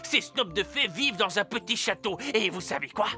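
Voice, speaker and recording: A woman voicing a talkative, friendly little forest gossip in an animated cartoon, with emphatic, eager delivery. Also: medium pitch, moderate pace, over music, lightly acted. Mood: chatty, enthusiastic. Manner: talkative, emphatic, enthusiastic storytelling.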